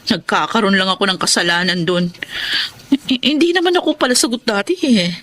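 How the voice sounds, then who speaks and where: A woman's voice: mid-range voice, brisk, a bit bright, natural voice. An actress playing an outspoken, emphatic young wife and mother in a Filipino radio drama. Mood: weary, resolute. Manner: emphatic, assertive. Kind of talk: Filipino radio drama acting and first-person narration. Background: music behind the voice.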